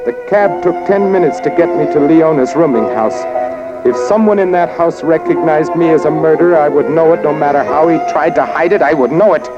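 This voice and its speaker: A man's voice: fairly high pitch, fairly quick, close to an everyday voice. An actor playing a haunted, evasive man narrating in terse, hard-boiled 1940s radio noir style. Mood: agitated, dread. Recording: muffled old radio recording with music.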